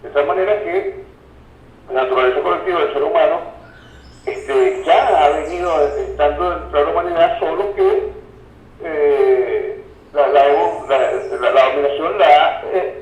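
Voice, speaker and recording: A man giving explanatory interview answers as a guest, hesitating and repeating words as he goes. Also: on the high side. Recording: muffled, distant, echoey remote line, faint noise.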